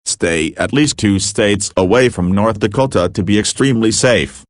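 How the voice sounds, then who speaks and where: A man's voice: on the low side, lively intonation, normal speed, dry recording, clean studio sound. A man narrating a scripted mock emergency broadcast as an announcer, matter-of-fact with casual asides.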